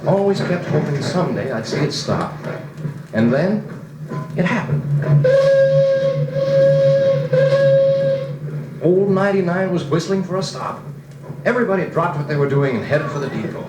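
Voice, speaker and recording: A man's voice: a little high, lively intonation, a little fast, heavy background noise, close to an everyday voice. A man playing a grown-up recalling his farm boyhood, narrating in a folksy, nostalgic American voice like an old radio drama. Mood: building wonder. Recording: echoey vintage record with music.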